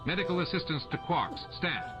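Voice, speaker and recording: A man's voice: fairly high pitch, lively intonation, moderate pace, dull audio, music in the background, pitched above the performer's own voice, close to an everyday voice. A man voicing a caring but firm starship doctor in an audiobook, speaking in clipped, urgent phrases.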